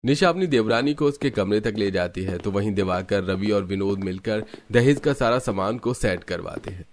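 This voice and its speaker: A man's voice: lowish voice, normal speed, a little hiss or hum. A man reading scripted narration for a cartoon family story.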